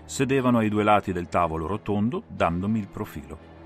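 A man's voice: lowish voice, expressive, fairly quick. A man reading dramatic first-person mystery narration as an audiobook narrator. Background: atmospheric music.

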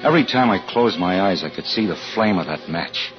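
Low male American, anxious, suspicious husband narrator, deliberate 1940s radio suspense style, dulled vintage recording. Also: lively intonation, muffled recording, soft background music. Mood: grim, tense.

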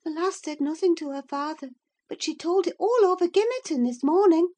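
A breathless young country maid, pitched very high above everyday speech. She gushes excitably, like a frightened storybook servant spilling news. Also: fairly quick. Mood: breathless gossiping. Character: panicked, breathless young servant maid, chattering rustic gossip. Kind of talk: audiobook character acting.

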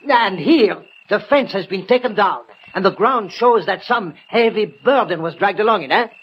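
Pompous, high-pitched police prefect. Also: male voice, lively intonation, moderate pace. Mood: triumphant. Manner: pompous, triumphant, exclamatory. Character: pompous, excitable, self-satisfied male French police prefect. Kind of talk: 1960 radio mystery acting. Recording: muffled, noisy old radio recording.

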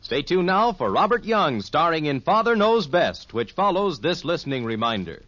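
Lively, polished American radio announcer. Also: male voice, a little high, moderate pace, no room sound. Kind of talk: vintage radio continuity announcing. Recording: dry, muffled vintage radio broadcast.

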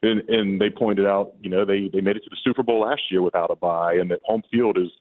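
A man's voice: sits low, fairly even intonation, quick pace. Man, phone-in guest, conversational, restarts, phone-like sound. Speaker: sportswriter guest calling in. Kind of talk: casual call-in chat. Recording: tinny phone line.

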